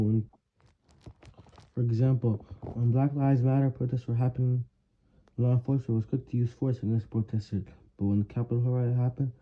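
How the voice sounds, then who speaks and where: A man's voice: lowish voice, highs cut off, a little hiss or hum. A young man, a student, reading prepared written answers aloud in an earnest way, now and then stumbling over words.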